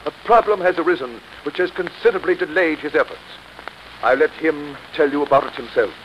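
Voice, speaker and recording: A man's voice: on the high side, a little fast, muffled recording, close to an everyday voice. An actor playing a formal, officious committee chairman in a 1930s radio drama. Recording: distant-sounding vintage 1930s radio, hiss.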